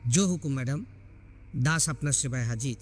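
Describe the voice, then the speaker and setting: A man's voice: medium pitch, brisk, close to an everyday voice. A man voicing a devoted, earnest young husband in a narrated horror story. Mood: teasing. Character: devoted, playful young husband, anxious for his wife.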